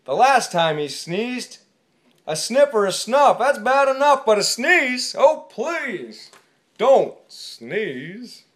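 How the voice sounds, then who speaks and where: A woman's voice: a little high, very animated, slow. A woman playing an indignant storybook bear. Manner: indignant, rhyming complaint. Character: grumpy male bear fretting about his fur.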